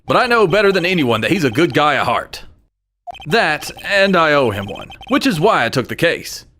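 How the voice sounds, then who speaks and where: A man's voice: a little high, very animated, moderate pace, a little hiss or hum. A man playing an earnest, hesitant young defense lawyer in a video game fandub, given to stammering under pressure. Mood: earnest narration. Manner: hesitant, stammering when put on the spot. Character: earnest, nervous rookie male defense lawyer in his twenties. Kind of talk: game fandub acting with inner monologue. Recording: loud music bed under the voice.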